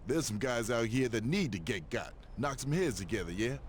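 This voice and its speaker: A man's voice: medium pitch, expressive, moderate pace, a little hiss or hum, close to an everyday voice. An actor voicing an earnest, streetwise male hero and neighborhood protector in a video game cutscene.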